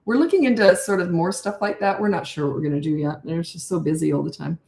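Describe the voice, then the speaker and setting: An older woman, a parenting coach, talking blunt and chatty with a raspy voice on a live-stream Q&A. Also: on the low side, fast, a little mellow, reverberant.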